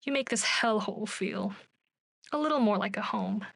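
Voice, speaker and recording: A woman's voice: mid-range voice, normal speed, gravelly, a bit bright. A woman playing a weary, guarded television director in a radio play, speaking in an understated, naturalistic way. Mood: guarded fondness. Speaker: voice actor.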